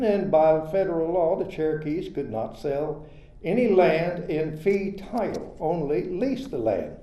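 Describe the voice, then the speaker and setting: An older man from Oklahoma reading a prepared personal history as a storyteller at an event, in a measured, plain way. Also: on the high side, mellow. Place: retirement community event room. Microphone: handheld microphone. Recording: echoey, slightly dull room recording.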